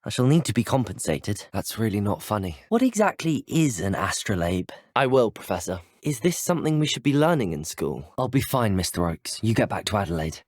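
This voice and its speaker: A man's voice: lowish voice, very animated, brisk, hardly any pauses, no room sound, clean studio sound. A young man with a British accent playing a polite, curious, brave teenage student wizard in a video game. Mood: polite, dry. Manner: polite, well-spoken, formal. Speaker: video game player character. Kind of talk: scripted video game dialogue.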